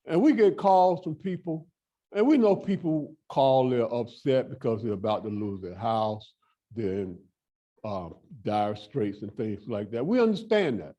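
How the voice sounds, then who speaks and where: A man's voice: medium pitch, dark tone. A man presenting at a fair housing workshop, giving direct, emphatic advice to staff and repeating his key points. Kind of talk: training talk to housing staff.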